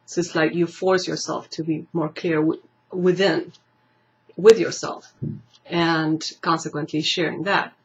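Thoughtful woman from Argentina, soft lo-fi sound. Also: low-pitched, flat delivery, a little slow. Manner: thoughtful, searching for words, laughs easily. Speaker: interview guest. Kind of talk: casual interview. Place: library room lined with bookshelves, indoors. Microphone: camera mic at table distance. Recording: slightly dull lo-fi webcam audio.

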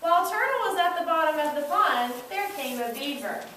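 Lady telling a kids story, deliberate, really echoey classroom, noisy recording. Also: high voice, lively intonation, pushed and bright. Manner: deliberate, repeats words for emphasis. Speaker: narrator of a school puppet show, prompting child puppeteers. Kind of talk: storytelling narration for children. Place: classroom, recorded from the audience side. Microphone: distant camera microphone. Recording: echoey room, far mic, noisy.

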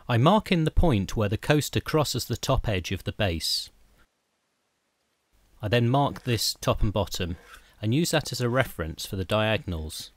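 A middle-aged man with a slightly low voice. He explains each workshop step in an even, methodical voice-over, like a careful host of his own woodworking channel. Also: dry recording. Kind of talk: scripted how-to voice-over.

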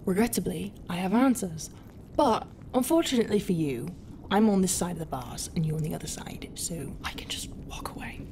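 A woman's voice: on the low side, lively intonation, brisk, darkish tone. Haughty pirate captain, acted by a woman, over noisy ambience. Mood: scornful, cold. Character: haughty, grudging female pirate captain with a bitter temper. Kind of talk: ASMR roleplay acting. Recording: clear ambient noise behind the voice.

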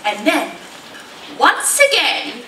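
A woman's voice: sits high, big pitch swings, slow, sharp tone, slight background noise, exaggerated voice. A woman playing a wry, reassuring pantomime fairy who narrates in theatrical rhyme. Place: large hall stage, indoors. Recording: echoey stage hall from far off.

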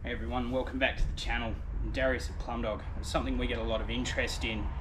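A man's voice: mid-range voice, quick pace. Australian man explaining outdoors. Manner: relaxed, plain explaining while working with tools. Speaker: plumber. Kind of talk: casual how-to video. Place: outdoors in a backyard by a house wall. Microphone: camera mic, handheld or worn action camera. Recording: outdoor camera audio with noisy background.

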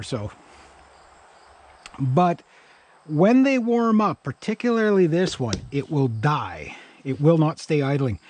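A middle-aged man with a Canadian accent and a slightly high voice. He talks in a loose, rambling way, working through his thoughts out loud. It sounds like a casual vlog recorded outside on an action camera. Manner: rambling, thinking aloud. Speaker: vlogger. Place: outdoors in a grassy yard by a pickup truck. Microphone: action camera worn on body or held at arm's length.

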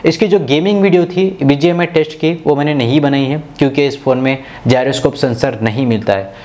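A man's voice: mid-range voice, a little fast, a little mellow. A man from India, narrating a tech tutorial in an instructional, step-by-step way. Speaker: tech video host.

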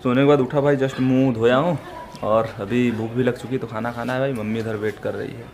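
A man's voice: medium pitch, fairly even intonation, fairly quick, vocal fry. A young man talking casually to a camera he holds at arm's length, narrating his day and calling his viewers "bhai". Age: young adult. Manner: chatty, keeps saying "bhai". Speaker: vlogger. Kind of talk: casual selfie vlog. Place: outdoors, home and gym. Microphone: camera held at arm's length. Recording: echoey with loud street noise.

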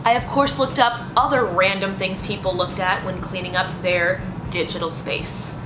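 A young woman in her twenties from the United States giving casual, lively advice to camera in a small indoor room, with punchy little asides. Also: medium pitch, normal speed, noisy background. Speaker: lifestyle vlogger. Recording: roomy with background music.

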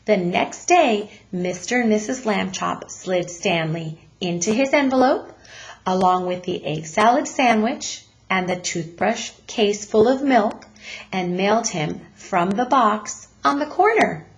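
A woman narrates a children's storybook in a teacher's read-aloud style. Her pace is slow and deliberate, her delivery expressive, her pitch middling.

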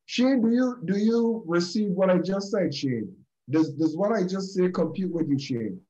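Man, Barbadian accent, high-pitched, emphatic, repeats phrases, video call audio, muffled lo-fi sound. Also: expressive, moderate pace, dark tone. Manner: emphatic, repeats phrases, addresses listeners directly. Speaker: group session speaker. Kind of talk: preachy motivational talk.

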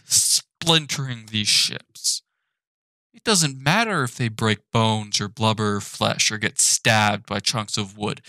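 Young guy swearing and ranting about a tv show, slow heavy delivery, dead dry mic. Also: mid-range voice, dry recording. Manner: profane, exasperated ranting with sudden shouting. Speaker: animated channel host. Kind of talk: ranting commentary. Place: apartment.

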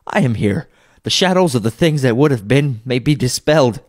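A man's voice: fairly low pitch, measured pace, gravelly, darkish tone. A man voicing a repentant old miser in a storybook, fervent and exclamatory, with breathless, effusive delivery. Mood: giddy elation. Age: older.

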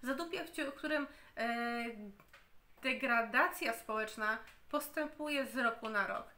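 Slow, hesitant woman reviewing a book. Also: a little high, sharp tone. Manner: slow and deliberate, with frequent hesitations and restarts. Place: home room, indoors.

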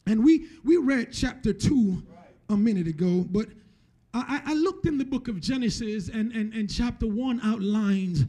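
High-pitched, mellow man preaching. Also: animated, normal speed. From Jamaica, moved to the US at eleven. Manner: repeats and restarts phrases for emphasis, often tags points with "right?". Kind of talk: conversational sermon to a congregation. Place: church stage, indoors. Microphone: handheld microphone held close.

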